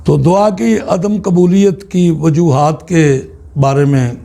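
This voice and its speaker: A man giving an informal, unscripted religious talk in a halting, deliberate way, often pausing mid-sentence. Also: fairly high pitch, gravelly, mellow. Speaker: Islamic spiritual speaker.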